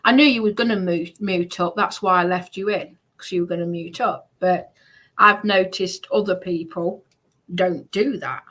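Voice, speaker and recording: A woman's voice: lowish voice, moderate pace, fairly bright tone. A woman hosting a livestream and chatting casually. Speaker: livestream host. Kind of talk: casual chat.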